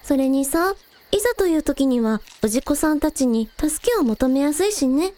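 A woman's voice: sits high, lively intonation, normal speed, mellow, no echo. A woman playing a spirited, caring young village girl who leads her team, casual and earnest, in a radio drama.

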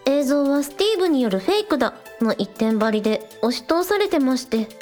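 A woman's voice: high voice, sing-song, brisk, a little mellow, faint music behind. A woman voicing a spirited, earnest young shrine maiden and village idol in a radio drama. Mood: frustrated, resolute.

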